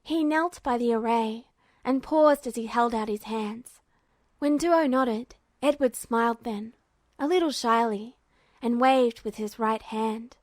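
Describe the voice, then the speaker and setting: A woman's voice: fairly high pitch, a little slow, a little mellow, no echo. A woman reading scripted fiction aloud as a narrator. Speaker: amateur audiobook narrator.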